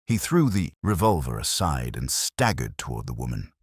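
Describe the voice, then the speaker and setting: A man narrating a dramatic mystery story in a measured, literary manner. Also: very deep voice, sing-song, slightly rough, dry recording, clean studio sound. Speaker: audiobook narrator.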